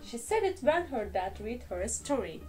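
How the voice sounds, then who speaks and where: A woman reading a children's story aloud in a deliberate, expressive storytelling manner. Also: on the high side, soft background music. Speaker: children's storybook reader, English teacher. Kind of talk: slow expressive read-aloud for young children.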